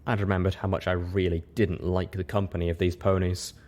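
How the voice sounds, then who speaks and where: A man reading first-person story narration as an audiobook, in an expressive, dramatic way. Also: low-pitched, fairly quick. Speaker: audiobook reader.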